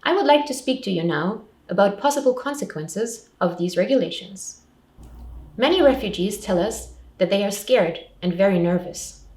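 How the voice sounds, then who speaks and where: Woman, mid-pitched voice, scripted advice talk, simple structured phrasing, roomy echo, distant microphone. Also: expressive, moderate pace, a little mellow. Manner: simple, clearly structured advice in short sentences. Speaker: refugee support counsellor presenting advice. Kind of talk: scripted public information talk.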